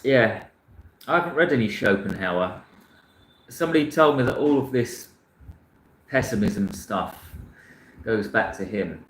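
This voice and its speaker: A man's voice: lowish voice, animated, measured pace, pauses often, fairly bright tone, reverberant, slight background noise, poor audio. A man from South London chatting casually about books, rambling and frank, often restarting his sentences. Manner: rambling, self-correcting, frank. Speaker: book channel host. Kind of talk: casual chat reading viewer comments.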